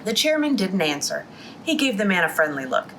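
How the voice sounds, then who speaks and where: A young woman reading a novel aloud at a library storytime, in an even reading voice with quick restarts after slips. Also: mid-range voice, a little hiss or hum, low-quality recording.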